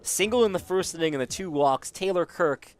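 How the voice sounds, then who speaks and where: A man's voice: sits high, expressive, fairly quick. A man calling live baseball play-by-play as a sports commentator.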